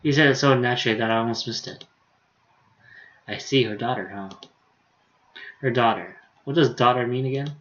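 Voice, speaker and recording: A man's voice: medium pitch, a bit bright. Dull-sounding man reading a game aloud. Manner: halting, stumbles and corrects himself while reading. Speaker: livestreamer playing a visual novel. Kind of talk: reading game dialogue aloud with casual commentary.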